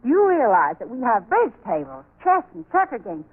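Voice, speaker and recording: A woman's voice: on the high side, wildly swooping pitch, normal speed, breathy edge. A woman speaking emphatically and eagerly in a declamatory 1930s radio promotional style. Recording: thin, phone-like 1930s radio recording.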